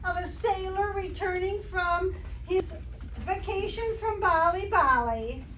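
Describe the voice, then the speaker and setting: A woman plays a sardonic schoolteacher in an old radio comedy, very high in pitch and slow, deliberate in her wry delivery. The sound is roomy and echoing. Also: fairly bright tone, heavily acted. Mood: wry, dry. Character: wry, sardonic adult female English teacher. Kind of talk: amateur stage re-creation of radio comedy. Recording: distant, echoey stage recording, faint noise.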